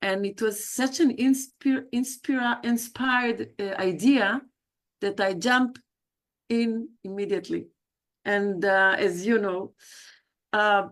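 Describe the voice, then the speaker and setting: A woman, introducing herself on a video call in a chatty, personal way, with frequent hesitations and self-corrections. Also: mid-range voice. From South America. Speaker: guest speaker, leadership coach. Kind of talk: livestreamed self-introduction talk.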